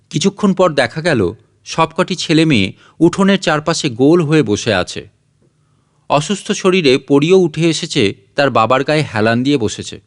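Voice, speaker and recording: A man reading a literary short story as scripted audiobook narration. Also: a little high.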